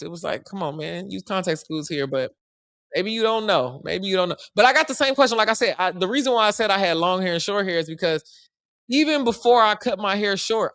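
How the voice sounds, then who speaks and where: Woman, 30s, deep voice, resonant, blunt casual livestream host. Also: a bit bright, dry recording. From Chicago. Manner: blunt and slangy, talking straight to the chat. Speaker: live commentary streamer. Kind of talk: casual live-stream chat with viewers.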